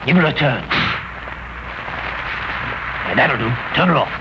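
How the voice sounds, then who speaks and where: An actor playing a cheeky, rough gang underling in a 1930s radio drama, talking colloquially. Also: male voice, medium pitch, big pitch swings, measured pace. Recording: muffled, noisy 1930s radio recording.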